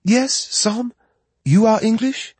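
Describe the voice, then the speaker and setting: An anxious young Frenchwoman, a veterinary assistant, pleads in halting, formal English with a thick French accent, as a male narrator puts her on. Her voice sits far higher than the reader's own, with a husky edge and a dry, close sound. It stays tense and urgent throughout. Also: a little mellow, no echo, exaggerated voice.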